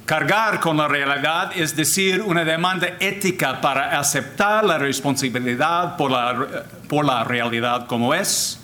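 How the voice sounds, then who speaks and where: An older man, a Jesuit priest whose first language is English, giving a deliberate, formal talk with frequent restarts. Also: fairly high pitch, sharp tone. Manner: deliberate, repeats and restarts words, switches to English phrases. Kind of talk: slow formal lecture.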